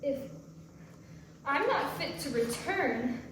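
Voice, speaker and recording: In a very echoey hall with faint noise, a distraught mother played by a woman speaks in a stage drama. She is halting and pleading, a troubled drinker desperate for her children. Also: high-pitched, expressive, fairly bright tone, full character voice. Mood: subdued, dazed. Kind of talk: staged play reading. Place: indoor performance space before an audience.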